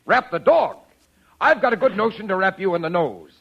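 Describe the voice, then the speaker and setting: High, gravelly irate shopkeeper. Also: male voice, lively intonation, moderate pace, exaggerated voice. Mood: indignant. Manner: blustering, indignant. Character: irate, grumbling male pet shop owner. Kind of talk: 1940s radio comedy acting. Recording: muffled, hissy 1940s radio recording.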